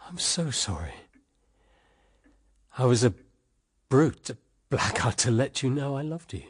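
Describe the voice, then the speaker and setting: An actor playing a tormented, passionate British husband, with a volatile, melodramatic radio drama delivery. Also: male voice, medium pitch, big pitch swings, a little slow, slightly rough. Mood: anguished, ardent. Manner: volatile, melodramatic, swinging from forceful to hushed. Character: tormented, passionate husband in love with wife's sister.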